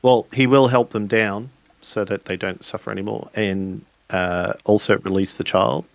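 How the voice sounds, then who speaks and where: A man improvising narration for a tabletop roleplaying game, speaking in a halting, deliberate way. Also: lowish voice, steady tone, gravelly, dry recording.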